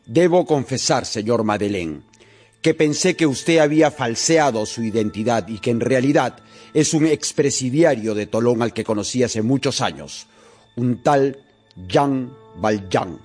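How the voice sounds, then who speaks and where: An actor playing a rigid, law-obsessed police inspector in a radio drama, formal, deliberate and brooding. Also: male voice, mid-range voice, darkish tone, husky, soft background music. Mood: formal, stern.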